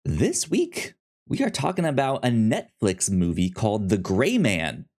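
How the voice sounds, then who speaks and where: A man hosting a casual review podcast, speaking in halting, conversational phrases that often double back on repeated words. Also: on the low side, very animated, a little mellow. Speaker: podcast host.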